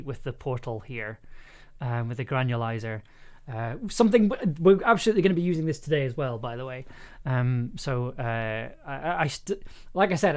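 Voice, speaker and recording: A man hosting a casual production livestream, talking in a halting, rambling and enthusiastic way. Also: fairly high pitch, a little mellow. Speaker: livestream host, audio drama producer.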